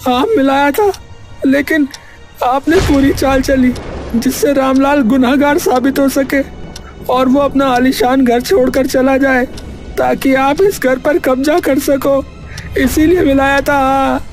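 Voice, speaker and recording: A woman's voice: high voice, fairly even intonation, takes it slowly, a little mellow, faint music behind. A woman voicing a remorseful male servant in a cartoon story, earnest and tearful in delivery. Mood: defiant. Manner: pleading, earnest. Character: wronged, remorseful male servant turned helper.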